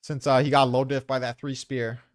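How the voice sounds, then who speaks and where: A man's voice: medium pitch, level tone, normal speed, no room sound, clean studio sound. A man defending his opinions in a casual debate, informal and prone to swearing. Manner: informal, profane. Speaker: tier list maker defending placements. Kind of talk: casual debate about manga character rankings.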